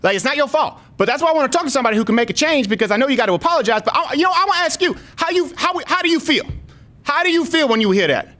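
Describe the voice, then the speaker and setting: A very high, sharp-edged voice an aggrieved gender non-conforming single mom on a prank complaint call. The speech is streetwise and emphatic, rambling along and circling back on its demands. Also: male voice, expressive, very fast, full character voice. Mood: pleading, aggrieved. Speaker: prank caller. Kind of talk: improvised prank phone call.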